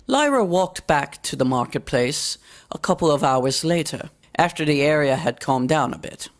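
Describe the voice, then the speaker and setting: Woman, story reader, creaky voice, gravelly fry, scripted fiction narration. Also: deep voice, expressive, normal speed.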